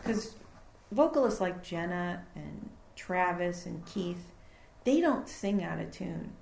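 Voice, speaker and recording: A woman recording engineer talking casually in an interview about her studio, explaining with fillers and pauses. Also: on the low side, expressive, gravelly, a little mellow. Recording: echoey room.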